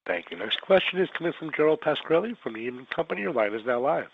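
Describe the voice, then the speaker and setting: A man's voice: on the high side, animated, racing, creaky, husky, no room sound. A man working as a conference call operator, reading scripted prompts to moderate questions. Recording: tinny, dry phone line.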